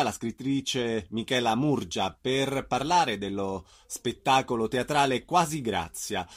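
Man, radio host, middle pitch, friendly, informal, interview questions and introductions.

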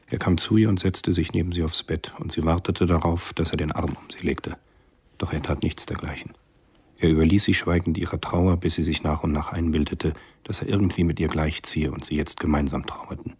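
The narrator of a radio crime drama. His voice is extremely deep and very husky. He tells the story soberly, with a measured, restrained, observant delivery. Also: steady tone, darkish tone, exaggerated voice. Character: sober, observant male storyteller of a spy thriller.

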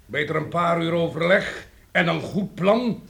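An actor playing a level-headed, cautious carpenters' guild master in a radio play, speaking in a deliberate, admonishing way. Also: male voice, on the high side, creaky, a bit bright, heavily acted. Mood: firm, cautioning.

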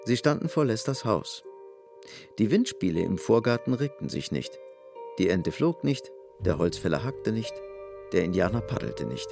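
An actor as the sober literary narrator of a radio drama, telling the story in a gravelly voice. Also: male voice, on the low side, big pitch swings, moderate pace, music in the background. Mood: measured, atmospheric.

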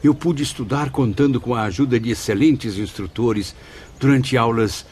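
A man's voice: mid-range voice, moderate pace, darkish tone, breathy edge, natural voice. A man playing an earnest, grateful spirit student in a radio drama, speaking formally and reverently. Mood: grateful, humble. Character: Camilo, earnest, grateful male spirit student recounting his afterlife. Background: background music.

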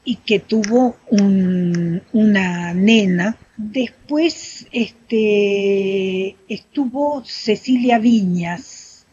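Halting, husky older woman. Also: mid-range voice, warm tone. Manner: halting, with frequent filler words and pauses. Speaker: witness giving testimony. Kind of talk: spoken testimony. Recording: dull, lo-fi testimony recording.

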